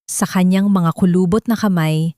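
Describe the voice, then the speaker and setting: A woman's voice: lowish voice, fairly even intonation, normal speed, dark tone, no echo. Woman narrating a dramatic story, dry sound. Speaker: audiobook narrator.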